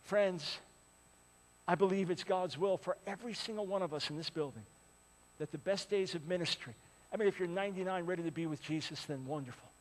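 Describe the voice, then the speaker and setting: A man's voice: high voice, brisk, big-room sound. Impassioned preacher in his sixties. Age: 60s. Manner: impassioned, swinging from loud appeals to hushed pleading. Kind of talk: sermon. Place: large church with a balcony.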